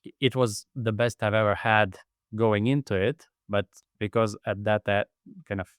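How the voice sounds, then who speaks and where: A man from Latvia speaking reflectively as an interview guest, halting now and then as he searches for words. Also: lowish voice, fairly even intonation, smooth, no room sound, studio quality. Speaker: podcast guest, endurance athlete and heart rate expert.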